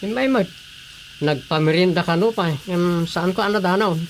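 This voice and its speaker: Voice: mid-range voice, normal speed, close to an everyday voice. An actor with an Ilocano accent playing a concerned, gossipy village acquaintance in a radio drama. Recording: faint background noise.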